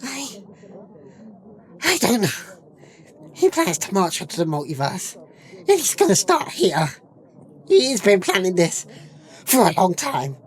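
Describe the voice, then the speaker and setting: An actress playing a shaken but resolute celestial warrior in a fantasy audio drama, intense and dramatic. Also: female voice, medium pitch, sing-song, unhurried, pauses often. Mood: shaken, grave.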